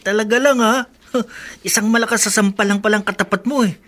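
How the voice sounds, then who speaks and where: A man's voice: high voice, moderate pace, a bit bright. An actor playing a responsible businessman in a Filipino radio drama, speaking with a Filipino accent. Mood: teasing. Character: polite, responsible businessman, teasing with his friend.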